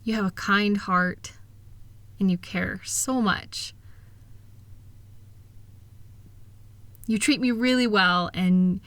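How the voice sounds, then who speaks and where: Woman acting as a kind wife comforting her partner, gentle n encouraging, bright voice, super dry close sound, audio roleplay. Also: mid-range voice, takes it slowly, dry recording. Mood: affectionate, encouraging. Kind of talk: intimate scripted roleplay audio, one-sided conversation.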